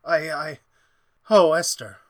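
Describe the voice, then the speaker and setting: A man playing an anguished scientist in an old-time radio horror play, with a halting, urgent, melodramatic delivery. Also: fairly high pitch, a bit bright. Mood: shaken relief. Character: anguished scientist, doctor haunted by his creation.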